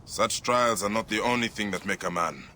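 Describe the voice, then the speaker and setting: A man playing a steady, kindly ancient Egyptian warrior and father in a video game, speaking in a measured, reassuring way. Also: fairly low pitch, a little hiss or hum. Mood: calm, encouraging. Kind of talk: video game cutscene acting.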